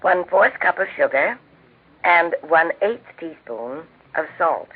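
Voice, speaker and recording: An American woman with a genteel, measured, instructive manner, speaking scripted homemaking talk as host of a 1940s radio cooking show. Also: fairly low pitch, expressive, husky. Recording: tinny band-limited 1940s radio recording.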